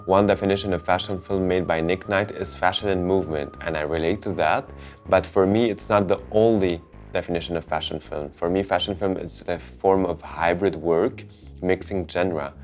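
A man in his thirties from Lebanon, calmly explaining his work as a filmmaker in an interview. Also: low voice, moderate pace. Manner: calm, reflective, speaks with open hand gestures. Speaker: filmmaker and photographer. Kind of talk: documentary interview. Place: hotel lounge indoors. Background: music.

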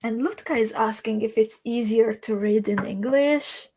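A woman in her thirties with a Hungarian accent, a native Hungarian speaker, chatting casually and haltingly as she hosts a livestream. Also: a little high, mellow, husky. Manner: halting, thinking aloud. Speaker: host of a live beading tutorial. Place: small indoor craft room.

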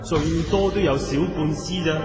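A man's voice: on the high side, natural voice. Solemn dragon god in cavernous reverb, acted by a man. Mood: grave, instructive. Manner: stately, measured pronouncements. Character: solemn male dragon god of healing, ancient and all-knowing. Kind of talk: Cantonese radio drama acting. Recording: long cavernous reverb, music behind.

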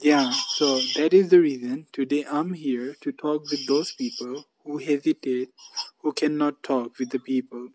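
A man's voice: medium pitch, fairly even intonation, measured pace, darkish tone, slight background noise, low-quality recording. A man hosting a casual English practice video call, speaking simple English and repeating his phrases. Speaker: YouTube channel host.

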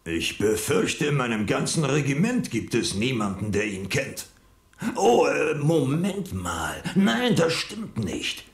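An actor playing a pompous, easily irritated army general in a German children's audio drama. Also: male voice, mid-range voice, big pitch swings, takes it slowly, gravelly, roomy sound, full character voice. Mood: puzzled.

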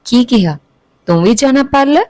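A woman's voice: fairly high pitch, lively intonation, moderate pace, warm tone, dry recording, lightly acted. Mocking, vain elder sister, as a woman narrator plays her. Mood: mocking, dismissive. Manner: mocking, curt, questioning.

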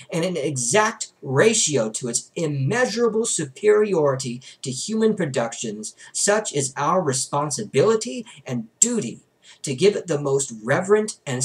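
A young man reads religious prose aloud from a book. His delivery is formal and emphatic, with a lively pitch that rises and falls widely across each sentence. He is recorded indoors at a desk in a small room. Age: young adult. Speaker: book reader.

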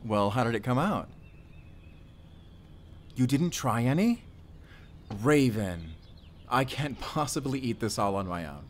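A man playing a friendly, bashful forest ranger and doting father in an intimate audio roleplay, chatty and gently teasing. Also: medium pitch, animated, measured pace, long pauses, a little hiss or hum, close to an everyday voice. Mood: teasing, delighted.

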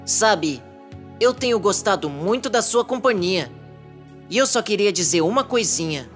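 An actor voicing a mild-mannered, easily exasperated cartoon dinosaur in Brazilian Portuguese, with an expressive, plaintive delivery. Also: medium pitch, normal speed, natural voice. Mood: hopeful, sweet. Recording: music behind the voice.

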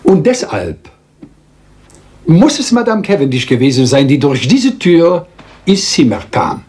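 Lively French-accented little detective. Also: male voice, fairly high pitch. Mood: explaining, confident. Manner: theatrical, deliberate, polite, lecturing, teasing rhetorical questions. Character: small, meticulous, self-assured Belgian detective. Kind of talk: German radio crime drama acting.

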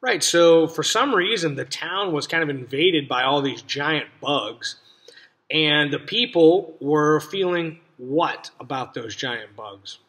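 A young man with an American accent and a somewhat high voice. He talks casually to children as a teacher would, in simple explanatory phrases with questions to the listener. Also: expressive, takes it slowly, pushed and bright. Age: young adult. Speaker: read-aloud teacher and host. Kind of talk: casual talk to young children about a story. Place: small cluttered room indoors.

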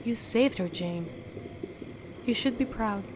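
Soothing grim reaper lady in a radio drama, solemn n measured, noisy bg. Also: fairly high pitch. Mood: warm, grateful. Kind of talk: radio play acting.

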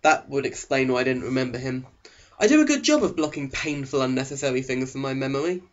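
A man's voice: medium pitch, lively intonation, quick pace. A man narrating an audiobook in a sarcastic, melodramatic way. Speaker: audiobook reader. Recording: dull, lo-fi sound.

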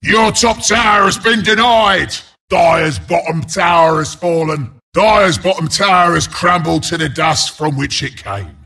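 An actor voicing a mean, cocky porcupine beast as a video game announcer, with a British accent, a gravelly rasp and a rowdy, taunting, blunt delivery. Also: male voice, a little high, a little slow, pushed and bright, full character voice. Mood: urgent, rowdy. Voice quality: gravelly, raspy. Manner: taunting, rowdy, blunt, mock-sympathetic asides.

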